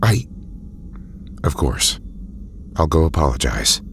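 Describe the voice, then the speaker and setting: A man's voice: very deep voice, big pitch swings, slow pace, creaky, a little hiss or hum, full character voice. A man acting a cautious, courteous doctor in an audio drama, with polite, precise and hesitant speech. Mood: hesitant, apologetic. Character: Hank, very deep-voiced, courteous, cautious male doctor.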